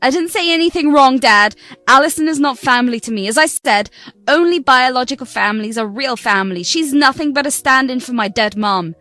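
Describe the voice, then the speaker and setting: A woman's voice: high-pitched, sing-song, brisk, no echo, faint music behind. Woman voicing a spoiled, scornful young bride. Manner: scornful, sharp.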